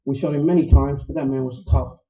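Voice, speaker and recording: An actor in a noir radio play, playing a suave, courtly older criminal mastermind who speaks with florid, deliberate politeness. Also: male voice, mid-range voice, fairly even intonation, lightly acted. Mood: smooth menace. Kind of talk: staged radio play acting, 1940s noir. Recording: roomy, dull live stage recording.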